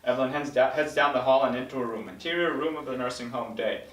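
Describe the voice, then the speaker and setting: A man's voice: mid-range voice, fast talker. A man reading stage directions as the narrator in a scripted table read. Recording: roomy recording, mic at a distance.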